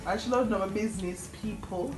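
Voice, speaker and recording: A young woman with a Jamaican accent chatting casually in Patois as a teasing, animated cooking co-host. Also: medium pitch, brisk, roomy sound, rough recording. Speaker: cooking vlog co-host, the daughter. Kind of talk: casual kitchen chat. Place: home kitchen. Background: music.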